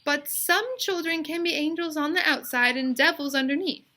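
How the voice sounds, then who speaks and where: A woman's voice: high voice, normal speed, pushed and bright, voice pushed up. A woman voicing a kindly but firm female schoolteacher in a storybook, coaxing and reassuring. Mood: polite, firm.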